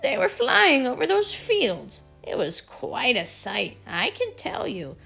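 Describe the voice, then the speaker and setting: A woman's voice: high voice, huge pitch swings, takes it slowly, vocal fry, sharp tone, husky, faint music behind, pitched above the performer's own voice, heavily acted. A woman voicing an unfriendly female magpie in a storybook, screeching and cackling. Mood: mocking.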